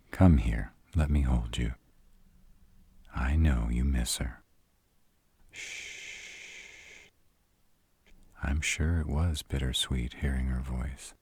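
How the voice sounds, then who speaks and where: A man playing a protective, tender were-dragon lover in an intimate audio roleplay, with a husky voice and a slow, comforting murmur. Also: darkish tone, no echo, exaggerated voice. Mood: tender, soothing. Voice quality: very deep, husky.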